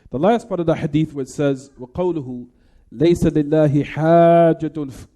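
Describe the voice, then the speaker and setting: Mellow, higher-voiced man teaching religion. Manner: didactic, repeats phrases, poses questions to listeners. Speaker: religious teacher giving a lecture. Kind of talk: religious lecture, translating and explaining recited Arabic texts.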